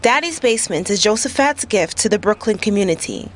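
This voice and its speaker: A woman's voice: medium pitch, lively intonation, a little fast, slight background noise. A woman reporter reading a scripted narration for a local news feature.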